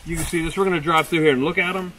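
A man's voice: fairly even intonation, racing, background noise. Upbeat man giving an outdoor property tour. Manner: upbeat, informal sales pitch. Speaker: real estate agent. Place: outdoors in woods by a creek. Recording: distant outdoor camera audio with guitar music.

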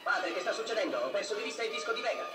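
High-pitched, urgent young hero pilot. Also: male voice, lively intonation, rapid-fire, reverberant. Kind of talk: dubbed 1970s anime acting. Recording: old dubbed film soundtrack, distant, loud music. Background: loud music.

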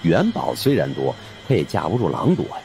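A man's voice: deep voice, wildly swooping pitch, brisk, gravelly, mellow, faint music behind. A man narrating a story as a dramatic scripted audiobook in the first person. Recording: faint background noise.

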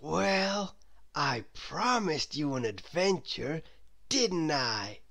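A friendly male cloud creature from a storybook voiced by a woman. He is cheerful and adventurous, drawing out his announcements very slowly in a lowish voice. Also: lively intonation, fairly bright tone, exaggerated voice. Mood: pleased, inviting.

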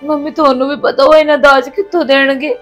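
A woman's voice: high voice, level tone, quick pace, fairly bright tone, soft background music. A woman playing a crying young daughter-in-law. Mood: tearful. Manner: pleading, hurt, defensive. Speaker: actor in a family skit. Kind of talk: domestic drama skit.

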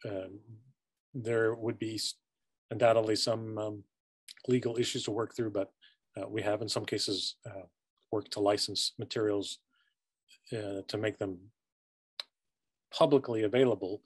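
A middle-aged man giving a careful, halting answer as a panelist on a video call from his office. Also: on the low side, steady tone, husky, no room sound. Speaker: panelist from a university media collections service. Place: office with bookshelves, indoors. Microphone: video call computer mic.

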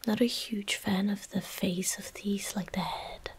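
A woman in her twenties giving soft-spoken ASMR game commentary in a breathy, gentle whisper, unhurried and close to the microphone. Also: medium pitch, steady tone. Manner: slow, unhurried, gentle murmuring. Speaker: ASMR streamer. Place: small indoor room at a desk. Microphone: close desk microphone.

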